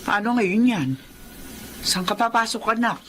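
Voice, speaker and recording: An actress playing a kindly, supportive older mother in a Filipino radio drama, speaking in an expressive, motherly way. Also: on the high side, a little slow, slight background noise.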